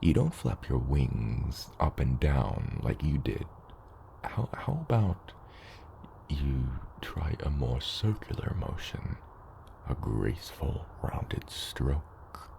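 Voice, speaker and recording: A man voicing a patient, gently teasing adult dragon father in an ASMR roleplay, with a deep, gravelly, partly whispery voice and a measured, mentoring manner. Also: sing-song, a little hiss or hum, full character voice. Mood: patient, instructive. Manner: slow, measured mentoring with hesitant asides. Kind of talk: ASMR roleplay, acted monologue to the listener.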